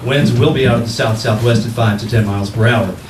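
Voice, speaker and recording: A man's voice: mid-range voice, fast talker, close to an everyday voice. Male vintage radio announcer, scripted, genial, roomy far pickup, noisy background. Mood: brisk. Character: smooth genial old-time radio announcer. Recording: echoey distant stage pickup, noisy.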